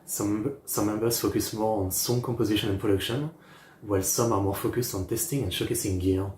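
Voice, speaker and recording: A man streamer giving casual live reaction commentary, talking haltingly in short phrases with pauses. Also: fairly low pitch, fairly even intonation, darkish tone, slight background noise. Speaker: streamer reacting to music videos. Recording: roomy echo, music playing underneath. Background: music from the videos.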